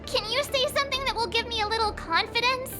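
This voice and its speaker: A woman's voice: very high voice, fast talker. An actress playing a nervous, chatty girl, a beginner swordswoman about to compete, in a game cutscene. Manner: anxious, chatty and excitable. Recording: game audio with music behind.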